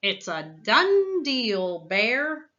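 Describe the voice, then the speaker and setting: A woman voicing a clever, persuasive male trickster hare from a storybook, pitching deals with eager patter. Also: very animated, very slow, pushed and bright, dull audio, heavily acted. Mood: sly.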